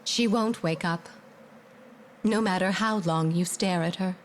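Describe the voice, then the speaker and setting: A woman's voice: fairly low pitch, unhurried, slight background noise, close to an everyday voice. A woman playing an anxious attendant to a princess in a fantasy game, earnest and pleading. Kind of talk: dramatic fantasy game acting.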